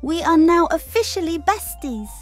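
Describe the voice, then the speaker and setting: Woman doing a high-pitched, sweet, bubbly plane girl. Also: expressive, normal speed, faint music behind. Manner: childlike, cheerful. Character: sweet, bubbly, girlish personified female fighter plane. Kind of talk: scripted audio drama dialogue.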